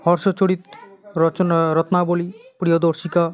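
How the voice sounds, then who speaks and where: Flat-toned exam teacher with Indian Bengali accent. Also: mid-range voice, moderate pace. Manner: instructional, repeats answers. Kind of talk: quiz lesson, reading questions and answers.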